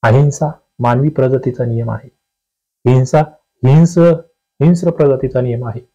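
A man's voice: mid-range voice, normal speed, warm tone, breathy edge, dull audio. A man teaching an exam quiz lesson, reading questions aloud and explaining answers conversationally, often putting questions to students.

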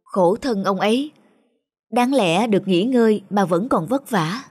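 A man's voice: high voice, animated, a little slow, a little mellow, husky, dry recording, soft background music, heavily acted. A man voicing a hard-working, honest father in a cartoon, earnest and fatherly. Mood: sympathetic. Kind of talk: cartoon dubbing.

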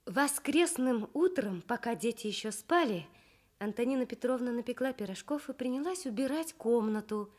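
A woman reading a children's story expressively for 1960s Soviet radio, with measured storytelling. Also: on the high side, lively intonation, a little mellow.

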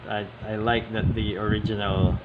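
A man giving a casual, unscripted show-and-tell commentary, speaking haltingly with frequent pauses mid-sentence. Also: on the low side, phone-quality sound. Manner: halting, hesitant, pausing often mid-sentence. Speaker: hobbyist video host. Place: indoors at a tabletop. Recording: steady loud air-conditioning hiss, handling clicks. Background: loud background noise, clicks.

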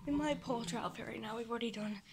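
A teenage girl with a very high voice talks casually to her phone in a backstage dressing area. She sounds like a young vlogger filing a quick video diary, with the phone held at arm's length. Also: crowd noise. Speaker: vlogger and youth pantomime performer.

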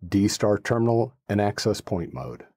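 A middle-aged man presenting a how-to in a measured, matter-of-fact manner. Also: fairly low pitch, darkish tone, dry recording, studio quality. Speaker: host presenting a radio installation.

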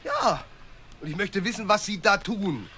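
An actor playing an irritated, suspicious watchmaker in a radio play, curt and scolding. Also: male voice, high-pitched, expressive, normal speed, background noise. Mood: indignant.

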